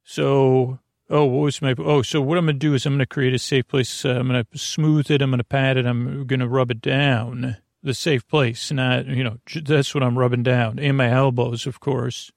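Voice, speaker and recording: A man hosting a bedtime podcast in a creaky voice, meandering and repetitive, halting and wandering off on tangents. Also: medium pitch, level tone, no room sound, studio quality. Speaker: podcast host. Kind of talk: rambling bedtime podcast monologue.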